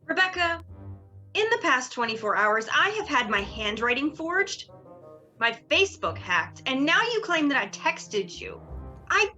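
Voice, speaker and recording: A woman's voice: high voice, very animated, a little slow, bright, forceful tone, soft background music, heavily acted. A young woman playing a sardonic, exasperated sorority leader in a dramatic reading, theatrical and emphatic. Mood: indignant, cutting.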